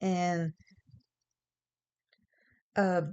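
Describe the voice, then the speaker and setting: A woman's voice: on the low side, level tone, drawn-out words, darkish tone, clear, resonant tone, lo-fi sound. Young adult woman from New York, casual vlog storyteller, rambling and chuckling with lots of fillers. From New York, USA. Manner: rambling, chuckling, many fillers and self-corrections. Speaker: vlogger.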